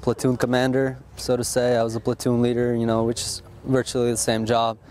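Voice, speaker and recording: Young army guy, talks plain and steady, flat monotone, faint noise outside. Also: mid-range voice, fairly quick. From born in Russia, raised in Texas. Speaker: army officer. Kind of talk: casual interview answers.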